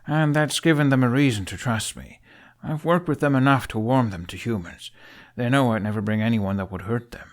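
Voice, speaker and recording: A man's voice: medium pitch, fairly quick, lightly acted. A man voicing an awkward, good-natured young dragon tamer in an audiobook, with a hesitant, mumbling manner. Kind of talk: audiobook character dialogue.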